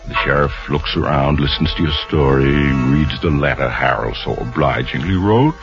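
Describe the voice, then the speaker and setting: An actor playing a sly, darkly amused storyteller narrating macabre tales in a 1940s radio mystery. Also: male voice, very deep voice, moderate pace. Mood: ominous relish. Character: sinister, genial male storyteller relishing macabre crime tales. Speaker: host and narrator. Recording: muffled old radio recording with music.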